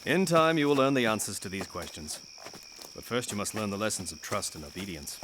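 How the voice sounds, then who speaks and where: A man's voice: fairly low pitch, expressive, fairly quick, a bit bright, a little hiss or hum. A man playing a stern knightly guardian in an audio drama, speaking in a terse, formal, commanding way. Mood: firm, patient.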